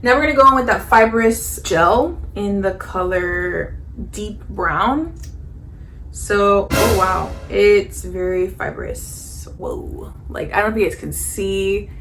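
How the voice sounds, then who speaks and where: A woman in her thirties chatting casually to the camera while doing her makeup, animated and full of fillers and jokey asides. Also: medium pitch, slow, slight background noise, poor audio. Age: 30s. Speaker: beauty vlogger.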